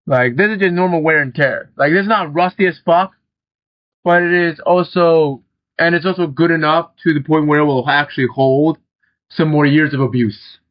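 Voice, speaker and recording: A young man with a slightly high voice talks his way through a car-building game, loose and rambling, with casual swearing thrown in. The sound is dry and close, with a somewhat dull, muffled tone. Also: fairly even intonation, normal speed, dry recording. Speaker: gaming channel host. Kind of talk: casual gameplay commentary.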